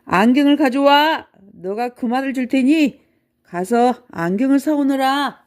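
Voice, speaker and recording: A woman plays an ancient toothless giant from a children's storybook, grumpy and hungry. The delivery is gruff and emphatic, in a mellow timbre lifted above her plain narration. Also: high-pitched, lively intonation, unhurried, pitched above the performer's own voice. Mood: bellowing.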